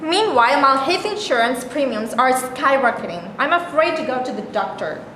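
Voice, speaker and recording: A woman's voice: high-pitched, expressive, normal speed. An actress playing a self-pitying, klutzy woman with a dry, barbed tongue, in a staged reading of a play. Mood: plaintive, anxious. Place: indoor stage reading, live room. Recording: echoey room, faint background noise.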